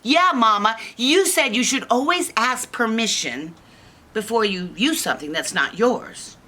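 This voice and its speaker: An earnest little bear cub from a fairy tale, played by a woman storyteller. The voice is bright and very lively in pitch. It exclaims and lectures in a singsong, indignant way. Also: a little slow, heavily acted. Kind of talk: animated children's storytelling with song.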